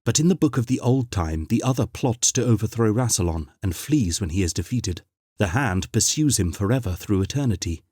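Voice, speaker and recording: A man's voice: fairly low pitch, lively intonation, brisk, mellow, husky, no room sound, studio quality. A man voicing an earnest, scholarly young woman who explains things carefully. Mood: explaining.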